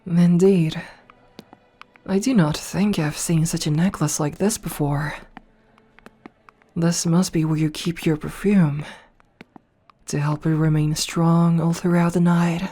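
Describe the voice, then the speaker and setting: A haughty noble vampire princess, voiced by a woman, purrs her teasing, condescending lines in a low, mellow voice. She takes her time, hushed and breathy. Faint music plays behind her. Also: fairly even intonation, slow pace, pauses often. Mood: seductive. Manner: teasing, condescending, softly purring. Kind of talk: ASMR audio roleplay.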